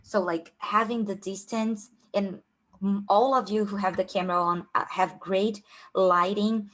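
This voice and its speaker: A woman's voice: mid-range voice, steady tone, measured pace, fairly bright tone. A young woman with a strong Brazilian Portuguese accent giving a casual online workshop on job interviews, with many fillers and restarts. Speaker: career coach.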